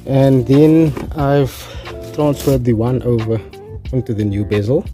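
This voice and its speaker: Very dark-voiced South African man. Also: mid-range voice, fairly even intonation, measured pace, faint music behind. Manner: informal, addresses viewers as guys. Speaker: presenter of a DIY installation tutorial. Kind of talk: casual hands-on tutorial talk.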